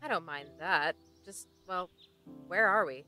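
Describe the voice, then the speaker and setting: A woman's voice: on the low side, a little slow, pushed and bright, soft background music. A woman playing a prickly, wary young female traveller in an audio drama. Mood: impatient. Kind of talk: fan audio drama acting.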